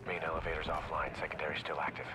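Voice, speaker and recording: Very deep, brisk soldier. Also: male voice, level tone. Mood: businesslike. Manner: brisk, terse. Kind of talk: video game voice acting, military radio reports.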